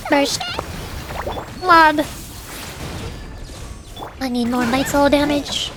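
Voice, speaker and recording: A woman's voice: high voice, very slow. A woman streaming a game with casual live commentary, playful and theatrical, often in short exclamations. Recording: game music behind the voice.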